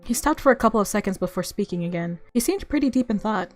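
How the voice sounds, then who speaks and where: A woman's voice: mid-range voice, animated, quick pace. Woman narrating a visual novel over music. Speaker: game streamer reading a visual novel aloud. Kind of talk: expressive story narration with casual asides.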